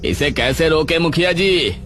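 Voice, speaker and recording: A man voicing a worried male villager who speaks with concern and pleading, in an animated horror story. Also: a little high, a little slow, over music, pitched above the performer's own voice. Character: worried male villager appealing to his chief.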